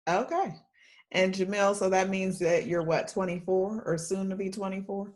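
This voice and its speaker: A woman's voice: mid-range voice, moderate pace, darkish tone. A woman hosting a podcast interview, asking questions and affirming her guests.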